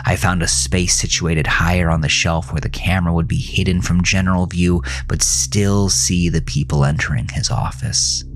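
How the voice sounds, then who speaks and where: A man reading a scripted first-person horror story in a brooding, deliberate manner, at times dropping to a hush. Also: deep voice.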